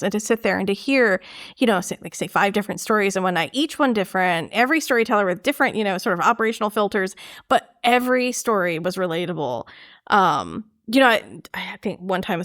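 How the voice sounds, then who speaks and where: A middle-aged woman hosting an interview in casual conversation, telling anecdotes in an animated way and acting out quoted dialogue. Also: medium pitch. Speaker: podcast host interviewing a storyteller. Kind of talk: casual chat.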